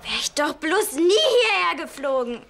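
A young actress playing a touchy, homesick teenage exchange student in a naturalistic TV drama. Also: female voice, high voice, big pitch swings, unhurried, background noise, heavily acted. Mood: upset. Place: furnished rooms, indoors.

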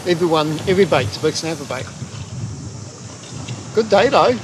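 A man's voice: a little high, normal speed. A man chatting in an easygoing way while fishing on a rocky sea coast. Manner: easygoing, relaxed. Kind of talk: casual fishing chat. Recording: wind and waves, noisy outdoor camera mic.

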